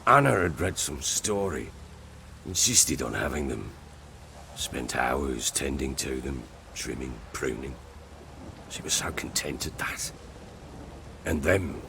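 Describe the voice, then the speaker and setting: An actor playing a gruff, grieving, volatile middle-aged baron in a dramatic video game, brooding and blunt. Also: male voice, very deep voice, expressive, a little slow, bright, forceful tone, slight background noise, exaggerated voice. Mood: bitter, grieving. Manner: brooding, blunt, agitated when pressed.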